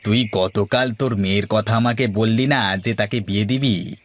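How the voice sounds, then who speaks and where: A man's voice: lowish voice, normal speed, slight background noise, close to an everyday voice. A man playing a villager who acts as a persuasive matchmaker for his friend in a cartoon. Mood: hailing. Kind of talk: Bengali moral story cartoon dialogue.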